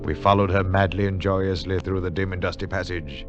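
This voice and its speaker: A low-voiced scholarly adventurer played by a man recounts his story in vintage radio-drama fashion. He is earnest and full of awe. Music runs clearly behind him on a dull, aged recording. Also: fairly even intonation, a little fast, natural voice. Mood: awed narration. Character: male scholarly adventurer narrating, sceptical and awestruck.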